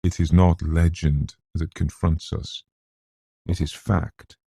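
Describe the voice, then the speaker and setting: A man performs a grim British castle factor in his seventies from a gothic tale. His voice is deep and husky, weather-worn and reticent. He speaks haltingly, with deadly seriousness. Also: little pitch movement, mellow, no echo, clean studio sound, full character voice. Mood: grave, urgent.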